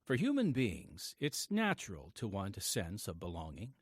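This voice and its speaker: Expressive male radio drama narrator. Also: lowish voice, normal speed, breathy edge, no echo, studio quality. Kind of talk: scripted narration. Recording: faint music behind the voice.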